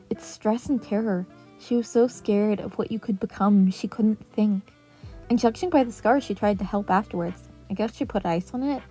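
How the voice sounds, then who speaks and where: A woman's voice: medium pitch, warm tone, natural voice. Teen boy counselor, female reader, fiercely caring, exasperated lecturing style, music underneath. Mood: gentle, urging. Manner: emphatic, sweary, lecturing.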